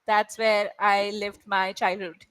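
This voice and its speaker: A woman's voice: medium pitch, level tone, slow pace, a bit bright, clean tone, no echo, low-quality recording. Woman tutor, frequent "right?" check-ins. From India. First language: Malayalam. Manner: encouraging, checks in with "right?" often. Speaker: English tutor. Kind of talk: online tutoring session.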